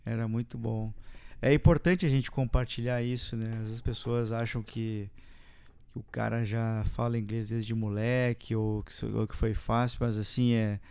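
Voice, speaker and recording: Smooth-voiced Brazilian podcast host. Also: male voice, mid-range voice, steady tone, normal speed, a little mellow. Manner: friendly, conversational, shares own stories. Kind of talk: casual interview chat.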